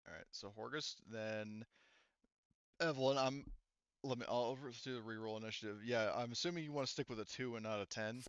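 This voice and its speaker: Bright, clear-voiced man running a game. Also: mid-range voice, no room sound, muffled recording. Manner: halting, improvised delivery. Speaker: game master. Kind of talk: casual tabletop game narration.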